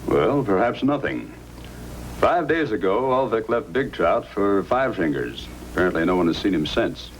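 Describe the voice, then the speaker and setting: Commanding Yukon Mountie, lowish and animated, vintage radio serial with background noise. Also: male voice, normal speed. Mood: probing, firm. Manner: commanding, questioning, firm. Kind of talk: old-time radio acting. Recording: noisy old radio drama recording.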